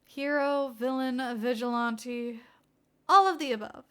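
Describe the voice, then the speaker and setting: A woman reading fan fiction aloud as an expressive narrator. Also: high voice, unhurried. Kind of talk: expressive storybook-style narration.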